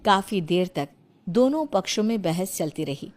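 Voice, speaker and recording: A woman's voice: fairly low pitch, lively intonation, unhurried. A woman reading scripted narration as the storyteller of a tale.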